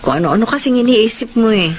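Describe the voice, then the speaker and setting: A Filipino woman playing a calm, soothing pregnant mistress in a radio drama. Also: a little high, a little fast, very noisy, lightly acted. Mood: calm, reassuring. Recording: loud music under the voice.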